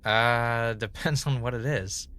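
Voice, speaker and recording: A man's voice: mid-range voice, fairly even intonation, slow, sharp tone, clear, resonant tone. A man acting in an audio drama as an uneasy, skeptical, sleep-deprived guy who stammers and halts when rattled. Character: Ray, sleep-deprived, skeptical, rattled former reality show contestant.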